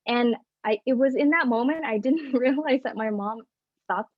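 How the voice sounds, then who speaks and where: A woman's voice: fairly high pitch, moderate pace, dry recording, muffled recording. A woman panelist speaking in an earnest, casual storytelling way with frequent fillers, over a video call.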